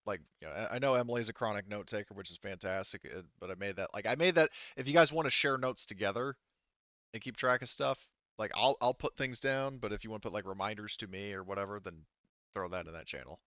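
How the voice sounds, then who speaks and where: A man running a tabletop game as game master, explaining rules casually and haltingly as he thinks aloud. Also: fairly low pitch, a bit bright, dry recording, muffled recording.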